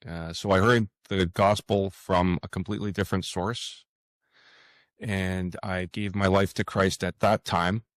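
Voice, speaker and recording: A man with a low, deep voice chats as a guest in an interview. He speaks haltingly, pausing and doubling back on his words. The sound is close and dry. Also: little pitch movement, no room sound. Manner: hesitant, halting, with frequent pauses and repeated words. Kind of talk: casual interview conversation.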